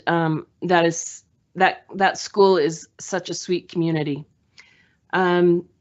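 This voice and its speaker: A woman school board member joining a meeting by video call, giving her remarks haltingly with frequent pauses and fillers. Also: on the low side, muffled recording.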